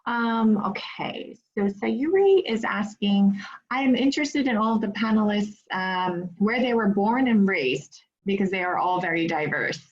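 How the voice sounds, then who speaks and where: A woman moderating an online panel discussion over a video call, measured and encouraging, often affirming the speakers. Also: medium pitch, animated. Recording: slightly dull lo-fi call audio.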